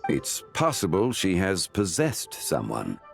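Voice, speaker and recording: A composed, ancient male sage from a fantasy game. His voice sits low yet moves with expressive inflection, and he explains things in stately, archaic phrasing. Soft music plays underneath. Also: creaky, heavily acted. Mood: composed, measured. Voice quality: deep, measured. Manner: formal, deliberate, archaic phrasing. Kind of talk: scripted video game dialogue.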